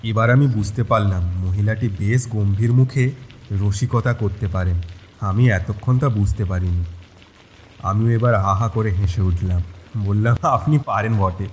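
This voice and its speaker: Male narrator for a horror audio story: fairly deep voice, first-person telling, rain sounds behind. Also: measured pace, a little mellow, a little hiss or hum.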